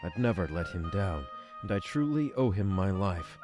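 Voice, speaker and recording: A very deep-voiced, stoic male spy in a fantasy game. He speaks with solemn resolve and devotion, as if committing himself to paper. Soft music runs underneath. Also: lively intonation, moderate pace. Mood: resolute. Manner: solemn, determined. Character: devoted, stoic male rebel spy reading his journal. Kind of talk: scripted visual novel monologue.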